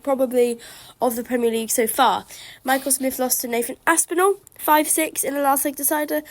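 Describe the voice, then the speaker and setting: A woman's voice: high-pitched, no room sound. A woman hosting a solo podcast outdoors, chatting eagerly through a cold that leaves her bunged up. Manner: chatty, enthusiastic darts fan. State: congested from a cold. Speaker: podcast host.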